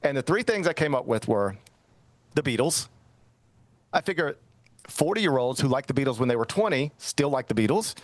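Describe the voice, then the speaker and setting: Rambling middle-aged talk host, dry mic. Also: male voice, medium pitch, moderate pace, long pauses, no room sound. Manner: rambling storyteller, self-mocking, lively. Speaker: sports talk show host. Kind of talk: casual radio-style chat.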